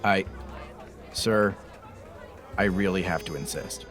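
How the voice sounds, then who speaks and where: An actor playing a nervous, polite bartender in an audio drama, hesitant and apologetic. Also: male voice, low-pitched, slow pace, a crowd in the background. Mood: firm but polite.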